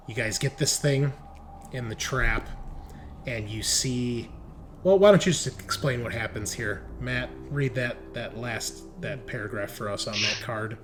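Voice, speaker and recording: Man, tabletop game master, medium-pitched voice, improvised scene description, rules calls, slight noise behind him. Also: fairly bright tone. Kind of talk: narrating a tabletop roleplaying game.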